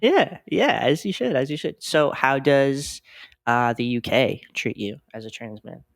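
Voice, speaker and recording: A man's voice: mid-range voice, lively intonation, unhurried, creaky. A man of twenty-five, hosting a podcast in an upbeat, chatty way with many "um" fillers. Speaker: podcast host. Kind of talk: casual chat. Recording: very echoey room, far from the mic.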